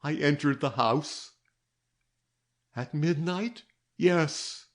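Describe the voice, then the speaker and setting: A man's voice: on the high side, drawn-out words, voice pushed up. A man voicing a frantic, sobbing young woman. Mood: pleading. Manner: breathless pleading cries.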